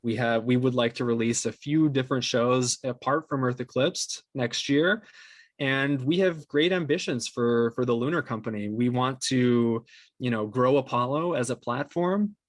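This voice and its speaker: A man's voice: mid-range voice, moderate pace, no room sound. A man giving earnest, discursive answers as the guest in a conversational interview about his creative work. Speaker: interview guest, podcast creator and company co-founder.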